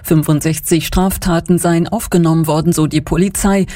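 A woman reading the radio news in an even, measured way. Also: fairly low pitch, dry recording. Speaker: newsreader. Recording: dry studio sound.

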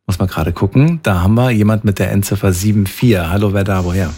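A man's voice: on the low side, fast talker, warm tone, husky. A man hosting a radio call-in show, chatting casually with callers and often repeating words as he talks.

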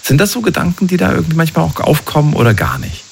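A man interviewing a guest in a relaxed conversation, asking long, reflective questions and often checking in with short tag questions. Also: a little high, fast, creaky, a little hiss or hum.

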